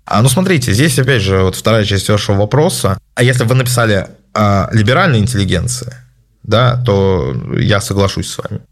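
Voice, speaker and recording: A man hosting a casual question-and-answer session, giving his opinions in a measured way with many hesitant filler sounds. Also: fairly low pitch, lively intonation. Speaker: host answering viewer questions. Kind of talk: casual spoken Q&A.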